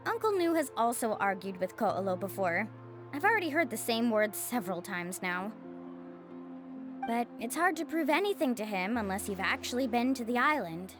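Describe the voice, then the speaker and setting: An actress playing an earnest, upbeat young guide in a video game, sincere and conversational. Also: female voice, fairly high pitch. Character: earnest, upbeat young female guide, loyal to her teacher.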